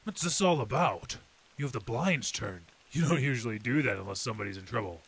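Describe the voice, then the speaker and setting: A gravelly veteran detective. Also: male voice, mid-range voice, lively intonation, fairly quick, fairly bright tone, exaggerated voice. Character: hardened veteran male city detective. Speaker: Detective Henry Reeves. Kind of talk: old time radio drama acting. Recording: hissy background noise.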